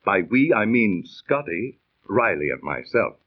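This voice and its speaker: Earnest, lively seafaring storyteller. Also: male voice, fairly low pitch. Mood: earnest storytelling. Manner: formal, dramatic, deliberate diction. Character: earnest, scientific-minded seafaring storyteller, Mister Morton. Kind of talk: 1930s radio acting. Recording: muffled 1930s radio recording.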